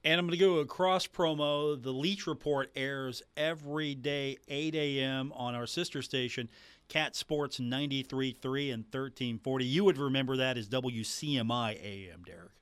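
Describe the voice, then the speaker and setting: Clear-voiced man hosting sports radio. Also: mid-range voice, normal speed, fairly bright tone, no room sound.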